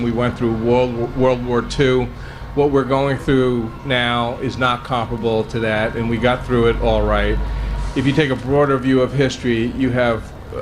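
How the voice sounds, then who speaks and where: Calm, measured male panelist. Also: medium pitch, background noise. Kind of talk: panel discussion answer. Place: large tent at an outdoor event. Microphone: table mic on a stand.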